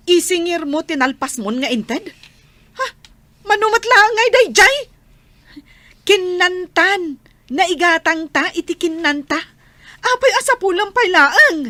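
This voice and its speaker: A fed-up Ilocano wife, voiced by a woman in a radio drama. She scolds sarcastically in a high, piercing voice, dragging out her words over a dry, close recording. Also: very animated, takes it slowly, long pauses, bright, forceful tone, no room sound, exaggerated voice. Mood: irritated scolding. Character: nagging, fed-up wife short of rent money.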